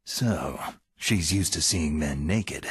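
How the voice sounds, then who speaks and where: A deep, heavily rasping special forces veteran in a game cutscene. He speaks tersely with dry sarcasm, recorded close and dry. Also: expressive, slow, no room sound, full character voice. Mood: dryly sardonic. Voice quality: gravelly, husky. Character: gruff, sardonic veteran male special forces soldier. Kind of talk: video game cutscene acting.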